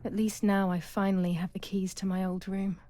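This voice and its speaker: A woman playing a troubled, brooding young woman in a wartime psychological game, narrating in a measured, dramatic, introspective way. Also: medium pitch, flat delivery, background noise, natural voice. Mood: wistful, reflective. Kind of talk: scripted first-person game narration.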